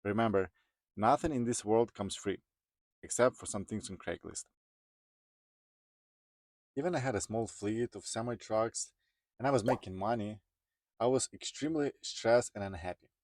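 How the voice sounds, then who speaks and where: A man's voice: fairly low pitch, normal speed, pauses often, a little mellow, no room sound. A man in his thirties from Ukraine with a Ukrainian accent, telling his personal story to viewers as a vlogger. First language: Ukrainian or other non-English. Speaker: vlogger, truck driver.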